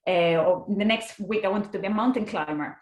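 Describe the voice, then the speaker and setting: A woman's voice: mid-range voice, fairly quick, reverberant. A young adult woman from Spain with a Spanish accent, a podcast guest chatting casually with frequent restarts. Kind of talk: casual interview chat.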